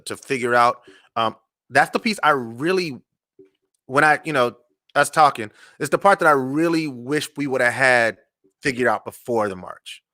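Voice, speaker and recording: An American man hosting a casual live interview, speaking haltingly and repeating words as he thinks. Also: mid-range voice, bright, forceful tone. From Charleston, United States. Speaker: talk show host.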